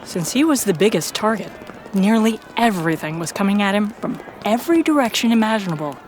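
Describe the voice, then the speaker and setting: A woman's voice: mid-range voice, animated, a little fast, a little mellow. A woman reading scripted first-person narration for a fiction audio drama. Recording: clear background noise and ambience.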